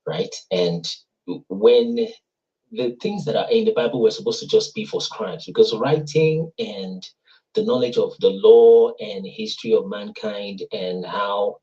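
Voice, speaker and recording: A man's voice: on the low side, lively intonation, unhurried, dark tone. A man hosting a casual talk, explaining in a teacherly way and tagging his points with "right?" and "you see". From Africa. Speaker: host explaining language links. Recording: dull lo-fi sound.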